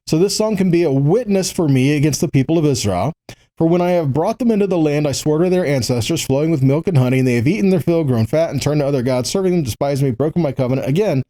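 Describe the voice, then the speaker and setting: A man's voice: on the high side, dark tone. A man giving an informal sermon, explaining scripture in a conversational way and often checking in with his listeners. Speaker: religious teacher giving a weekly Torah portion teaching.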